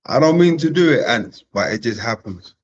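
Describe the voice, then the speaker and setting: A man hosting a live-streamed panel chat. He talks casually, repeating phrases and giving slangy shout-outs to viewers. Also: mid-range voice, big pitch swings, normal speed, slightly rough. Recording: dull, lo-fi stream audio.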